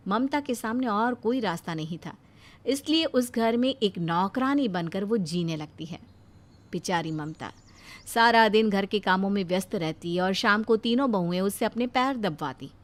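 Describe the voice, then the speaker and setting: A woman tells a cartoon moral story in a steady, dramatic storytelling voice. Her pitch is mid-range. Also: normal speed. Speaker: narrator.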